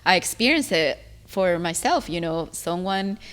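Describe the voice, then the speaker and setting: A woman's voice: lowish voice, animated, unhurried, a bit bright, clear, resonant tone. A young woman from Ecuador, a guest talking casually and chattily, full of "you know" fillers. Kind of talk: casual interview talk.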